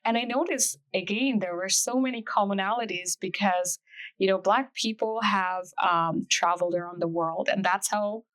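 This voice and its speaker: A woman's voice: medium pitch, moderate pace, a bit bright. A woman from Bangladesh, hosting a conversational interview in an effusive, affirming manner. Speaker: podcast host.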